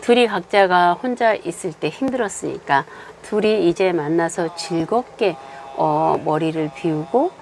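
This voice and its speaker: Hoarse woman giving a tarot reading. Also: on the low side, a little mellow, slight background noise. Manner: unhurried, many filler sounds. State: hoarse from a cold, coughing. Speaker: tarot reader. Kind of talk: monthly tarot fortune reading.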